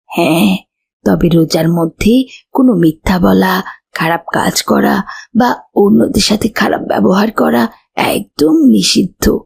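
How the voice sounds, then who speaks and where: Kindly old woman acted by a woman, husky, gravelly, patient, instructive, children's cartoon. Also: mid-range voice, a little slow, darkish tone, heavily acted. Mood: gentle, instructive. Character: kindly old village woman teaching children about faith. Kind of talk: children's moral cartoon voice acting.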